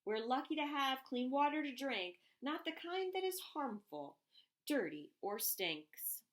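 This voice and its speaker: A woman reading a rhyming children's picture book aloud, expressively and deliberately, with emphasised phrases. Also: high-pitched, big pitch swings, fairly bright tone.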